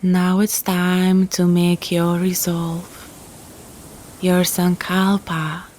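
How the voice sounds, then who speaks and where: A woman guiding a relaxation meditation in a calm, gentle, soothing manner, leaving long pauses between phrases. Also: fairly low pitch, slight background noise. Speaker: yoga nidra guide.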